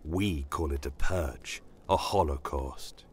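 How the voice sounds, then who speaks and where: A man performs a stern fantasy war commander recounting his tale. The voice is very low and slow, formal and brooding, with proud authority in a storytelling style. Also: slightly rough, exaggerated voice. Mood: brooding, measured. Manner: measured, deliberate, formal, brooding. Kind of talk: first-person fantasy audio storytelling.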